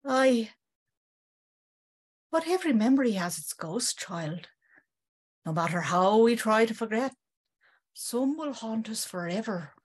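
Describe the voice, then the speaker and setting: A woman's voice: on the high side, expressive, measured pace, pauses often, slightly rough. A woman playing a secretive older servant telling tales in rustic, old-fashioned phrases for a gothic audio drama. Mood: ominous, confiding. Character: knowing, gossiping older female servant, secretive storyteller.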